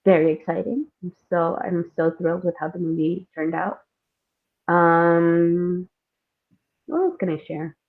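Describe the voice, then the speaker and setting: A woman from India and Singapore chatting casually as an interview guest, telling personal stories in an animated way with frequent laughter. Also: on the low side, measured pace. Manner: animated, laughs often while talking. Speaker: podcast guest, playwright and screenwriter. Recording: thin phone-like call audio.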